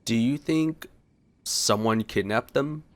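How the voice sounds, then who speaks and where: A man's voice: medium pitch, slow pace. A man giving casual reaction commentary over a game as its player, thinking aloud. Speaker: gaming video creator.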